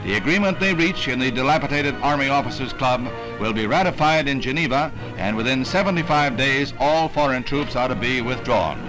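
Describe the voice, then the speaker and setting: A man's voice: medium pitch, expressive, a little fast, hardly any pauses, poor audio. An American man reading scripted 1960s newsreel narration in a formal, dramatic announcer's delivery. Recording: vintage newsreel soundtrack with music. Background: newsreel music.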